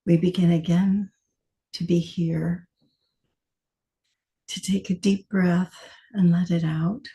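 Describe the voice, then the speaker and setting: Very mellow, creaky woman guiding a meditation. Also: lowish voice, level tone. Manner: soft, gentle, short phrases with long pauses. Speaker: meditation teacher. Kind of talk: guided meditation.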